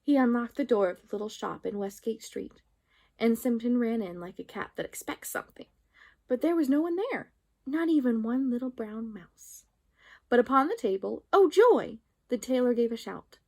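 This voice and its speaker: High-pitched expressive woman storyteller. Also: moderate pace. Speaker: children's storyteller. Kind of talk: reading a storybook aloud.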